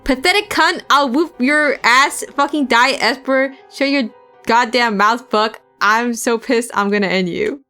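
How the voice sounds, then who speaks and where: A woman's voice: a little high, measured pace, pushed and bright, soft background music. A woman voicing snarling young thug men. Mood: enraged. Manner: snarling, profane, gloating. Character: crowd of vicious young male thugs.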